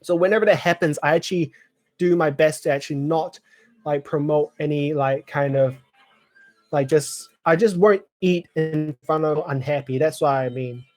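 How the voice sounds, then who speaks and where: A man in his twenties chatting casually on a live stream, rambling with many fillers and clearing his throat now and then. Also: a little high, normal speed, a little mellow, soft background music. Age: 20s. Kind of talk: casual live chat reading viewer comments.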